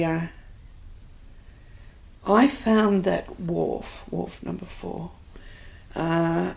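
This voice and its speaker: An older woman with an Australian accent, thoughtfully recalling her life in an interview, pausing often. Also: deep voice, gravelly, darkish tone. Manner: reflective, hesitant with many fillers. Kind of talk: oral history interview.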